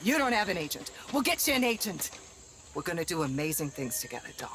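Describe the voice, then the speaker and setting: A man's voice: on the high side, very animated, a bit bright, a little hiss or hum. An actor playing a flamboyant male film director in a game cutscene, theatrical and gushing. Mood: flattering showman. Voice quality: theatrical, gushing, fast-shifting. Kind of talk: video game cutscene voice acting.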